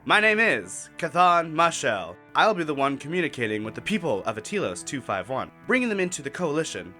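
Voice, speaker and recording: Man as self-important alien linguist, smug, fairly high, sharp tone, theatrical radio drama, faint music. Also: very animated, fairly quick. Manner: condescending, theatrical.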